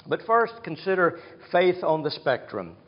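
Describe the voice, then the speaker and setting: A male preacher with a fairly high voice speaks at a slow, measured pace, stressing his points through repetition. His voice carries a long hall reverb, and the sound is somewhat dull. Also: big pitch swings. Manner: slow, deliberate teaching with emphatic repetition. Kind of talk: sermon.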